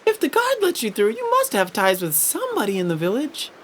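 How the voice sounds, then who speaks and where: A woman's voice: fairly high pitch, huge pitch swings, brisk, slight background noise. A woman voicing a chatty, worried young villager in a video game, fretful and exclamatory, with music behind. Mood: curious, worried. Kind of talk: voiced video game dialogue.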